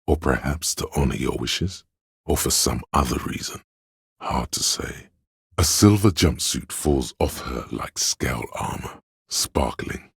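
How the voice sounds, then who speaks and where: A man voicing a detective's pretentious, arty inner voice for a video game, theatrical and deliberate, with a gravelly, husky tone. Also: a bass voice, no room sound, studio quality, exaggerated voice. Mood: hushed, reverent. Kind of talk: video game narration, second-person commentary.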